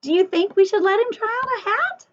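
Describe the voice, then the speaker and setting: A woman reading a story aloud to young children in an expressive, sing-song way, adding chatty asides and questions to the listeners. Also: very high voice, fairly quick, lo-fi sound.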